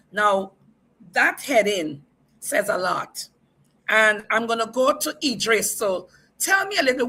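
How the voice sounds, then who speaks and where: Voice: medium pitch, bright, forceful tone, breathy edge, rough recording. The host of a livestream talk show, upbeat, introducing guests and repeatedly asking viewers to share the stream.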